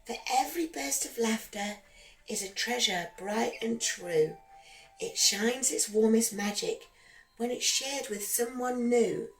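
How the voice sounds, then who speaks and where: A woman reads a rhyming bedtime story for children in a fairly high voice. Her delivery is very slow, gentle and singsong. Soft background music plays beneath her. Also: rough recording.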